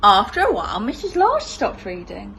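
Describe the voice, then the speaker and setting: A woman's voice: medium pitch, wildly swooping pitch, moderate pace, creaky, a bit bright, a little hiss or hum. A woman reading a children's storybook expressively, in the style of a primary school teacher.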